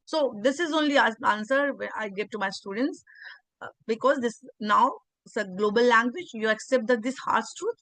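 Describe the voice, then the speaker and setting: Indian woman in her thirties, english teacher, earnest and repetitive, highish voice, dry close sound. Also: moderate pace, fairly bright tone, no echo, poor audio. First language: Hindi. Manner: earnest, repeats words, frequent restarts. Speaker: English teacher and channel host. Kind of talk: conversational video interview.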